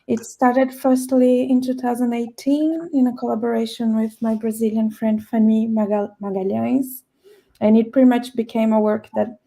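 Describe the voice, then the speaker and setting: A woman artist introduces her work to a panel in a casual, halting way, with plenty of fillers. Her voice is mellow and gravelly. Her pitch stays flat and level throughout. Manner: hesitant, with many fillers.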